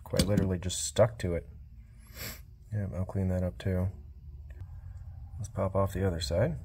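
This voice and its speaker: A man with a lowish voice talks through a repair job in a quiet, low-key, fairly monotone way. It sounds like he's recording on his phone while working at the bench, with a casual, unhurried workshop manner. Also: slightly rough. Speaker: small-engine repair vlogger. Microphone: cell phone.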